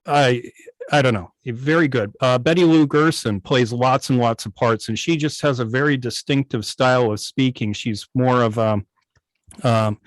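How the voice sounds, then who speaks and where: A man answering casually as a guest on a video call, halting and searching for his words. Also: mid-range voice, no room sound. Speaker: guest, internet old-time radio station operator. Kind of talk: casual conversational interview.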